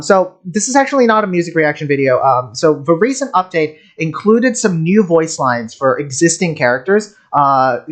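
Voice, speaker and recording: Casual streamer with an animated, lively pitch. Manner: halting, hesitant, giggly. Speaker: host of a game reaction video. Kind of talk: casual reaction commentary.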